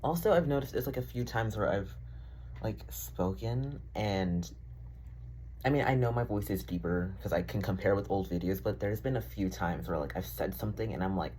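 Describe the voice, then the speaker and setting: A man's voice: fairly low pitch, moderate pace, a little mellow, slight background noise. A young man rambling casually in a diary-style vlog, full of fillers, swearing and self-deprecating asides. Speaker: vlogger.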